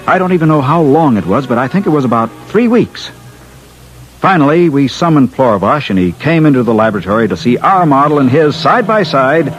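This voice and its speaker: Man as a brash, animated Earthman inventor. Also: medium pitch, close to an everyday voice, rough recording. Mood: narrating. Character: brash, confident Earthman inventor held by aliens. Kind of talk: 1950s radio drama acting. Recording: old-time radio recording.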